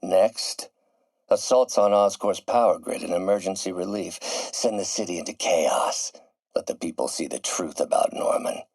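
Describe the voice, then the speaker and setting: An actor voicing a grandiose, middle-aged scientist turning villain in a video game, theatrical and erudite, with precise enunciation. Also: male voice, deep voice, normal speed, a little mellow, dry recording. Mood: sinister scheming. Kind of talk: video game voice acting, dramatic monologue.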